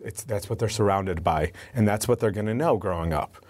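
Low-pitched male guest, earnest, answers with restarts. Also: brisk, darkish tone. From United States. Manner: earnest, repeats words when starting a thought. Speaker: advocacy spokesperson. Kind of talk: interview answers.

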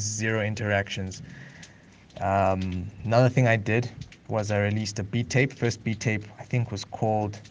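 Producer, thirties, lowish voice, unscripted and rambling, light background hiss. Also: male voice, a little mellow. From South Africa. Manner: casual, rambling, many fillers and restarts. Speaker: music producer. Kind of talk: unscripted voice note monologue.

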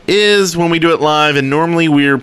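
A man's voice: a little high, a little fast, a bit bright, clean tone. A man hosting a podcast, chatting casually about gaming with others. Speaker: podcast host.

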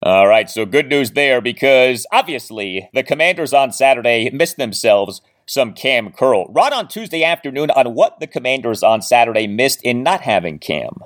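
A man's voice: medium pitch, very animated, normal speed, hardly any pauses. Male sports podcast host, emphatic delivery. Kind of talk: sports commentary with recapped stats.

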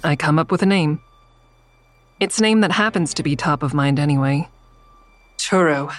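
A woman's voice: low voice, normal speed, close to an everyday voice. A woman playing a wary, stubborn mother who narrates her risky investigation in the first person in an audio drama. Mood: guarded, uneasy.

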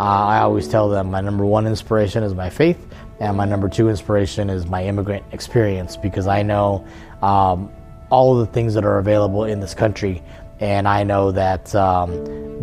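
A man from Colombia, a motivational speaker, giving steady, reflective interview answers. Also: fairly low pitch.

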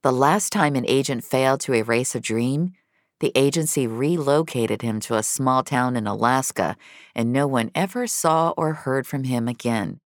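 A woman's voice: a bass voice, normal speed, no echo, clean studio sound. A woman reading scripted fiction as a dramatic narrator. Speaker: narrator and reader of an audio fiction episode.

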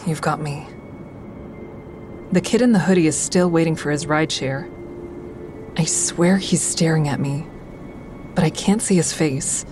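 A woman acting in an audio drama as a calm, wry crisis-call listener who narrates her own thoughts in a measured, coaxing, frank way. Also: deep voice, music in the background. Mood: wry, watchful. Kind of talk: full-cast audio drama, first-person narration and dialogue.

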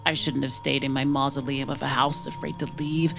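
A woman's voice: very deep voice, little pitch movement, fairly quick, creaky, music in the background. A woman acting a frightened, grieving widowed mother in a naturalistic audio drama, weary and defensive. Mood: bitter, grieving.

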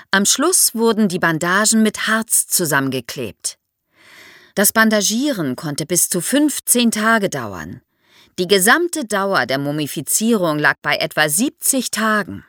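A woman's voice: on the low side, fairly bright tone, no room sound, clean studio sound. A woman explains history to young listeners as an expert guest, in a didactic way, posing questions as she goes.

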